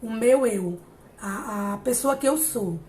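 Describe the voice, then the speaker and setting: A woman in her late thirties speaks to a live-stream audience in an encouraging, chatty way. Her voice sits fairly high, and she often stops, repeats a word, and starts her sentence again. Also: slow, a little hiss or hum. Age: 30s. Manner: warm, encouraging, many hesitations and restarts. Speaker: psychologist hosting a live stream. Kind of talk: casual live-stream talk on emotional growth.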